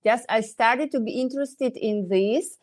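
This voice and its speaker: A woman's voice: fairly high pitch, unhurried. A woman in her fifties with a Ukrainian accent, a dentist guest who hesitates and searches for English words.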